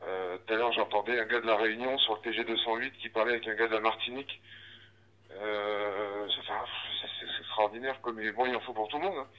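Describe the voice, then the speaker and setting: A man's voice: lowish voice, fairly even intonation, quick pace. A man chatting informally as an amateur radio operator over a dull, band-limited digital radio link, explaining things in a hesitant, conversational way. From northern France. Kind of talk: casual radio chat, explaining. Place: outdoors in the street, walking. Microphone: handheld radio transceiver.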